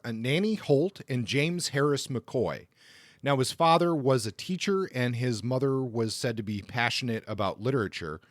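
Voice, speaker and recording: A man hosting a podcast, narrating informally in a halting, conversational way. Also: medium pitch, dry recording, clean studio sound. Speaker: podcast host.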